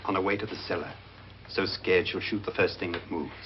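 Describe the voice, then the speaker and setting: An actor playing a self-assured murder plotter in a 1950s horror film, calm and conspiratorial. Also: male voice, low-pitched, a little fast, lightly acted. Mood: smug, conspiratorial. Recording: dull, noisy old film soundtrack.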